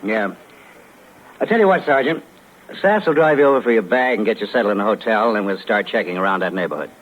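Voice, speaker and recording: Laconic police lieutenant, muffled old radio. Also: male voice, fairly low pitch, animated, quick pace. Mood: steady. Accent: American. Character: easygoing, steady police lieutenant in charge. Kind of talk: 1950s American radio crime drama acting.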